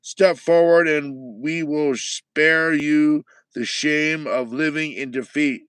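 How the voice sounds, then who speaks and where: A man voicing a pompous, bloodthirsty male alien war judge who shouts grandiose threats. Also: on the high side, steady tone, takes it slowly, clear, resonant tone, no room sound, voice pushed up. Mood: menacing boast.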